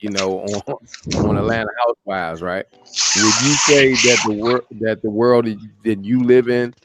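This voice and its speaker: Halting man asking a question. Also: medium pitch, dry recording. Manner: halting, repeats words. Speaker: podcast co-host. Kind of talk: casual questioning.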